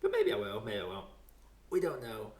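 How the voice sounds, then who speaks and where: A man's voice: lowish voice, wildly swooping pitch, normal speed, a little mellow. Guy hosting a laid out casual movie podcast, kinda echoey room. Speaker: podcast host. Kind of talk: casual film chat on a podcast. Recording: roomy, echoey sound.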